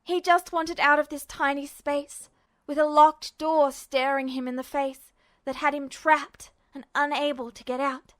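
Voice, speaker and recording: High-pitched woman narrating dramatic fiction. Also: level tone, measured pace, a bit bright. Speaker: amateur audiobook narrator. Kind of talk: scripted narration of fan fiction.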